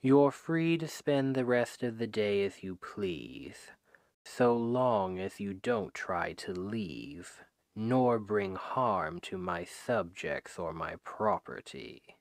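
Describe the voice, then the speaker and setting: A man playing a suave, menacing, possessive demon king who woos his captive with slow, deliberate, softly condescending speech in an ASMR roleplay, close on the microphone. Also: fairly low pitch, lively intonation, no room sound. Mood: calm, possessive. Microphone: close microphone, dry.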